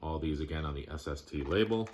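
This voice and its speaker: A man giving a casual show-and-tell of his collection, plainspoken, listing items in short, halting phrases. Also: very deep voice, a little mellow, slight background noise.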